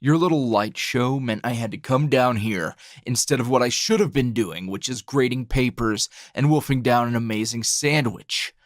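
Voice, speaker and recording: A man playing a tired, gruff, dryly teasing hero schoolteacher in an ASMR roleplay, speaking low and gravelly in a halting, understated way. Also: no echo, studio quality, natural voice. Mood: irritated, weary. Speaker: voice actor.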